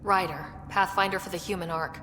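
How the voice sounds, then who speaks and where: A woman's voice: lowish voice, fairly even intonation, fairly quick, noisy background, close to an everyday voice. A woman voicing a determined, level-headed young space explorer leader in a video game. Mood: steady.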